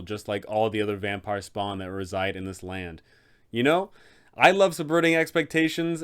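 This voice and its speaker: Guy explaining tabletop rpg stuff to game masters, middle pitched voice, talks right to you, asks questions. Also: clear, resonant tone. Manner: addresses the viewer directly, poses rhetorical questions. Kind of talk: explanatory video narration with advice for game masters.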